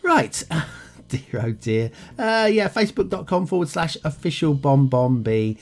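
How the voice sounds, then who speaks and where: A man hosting a map review show, giving relaxed running commentary over game footage with lots of chuckles and ums. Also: on the high side, measured pace, soft background music. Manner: chatty, self-mocking, chuckles often, trails off with ums. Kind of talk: casual gameplay commentary.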